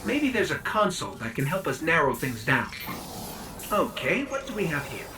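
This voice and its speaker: An actor voicing a small, inquisitive robot companion in a video game, chatty and playfully wry. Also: male voice, on the high side, big pitch swings, normal speed, noisy background. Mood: wry, curious. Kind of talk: video game character acting. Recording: distant voice under loud music.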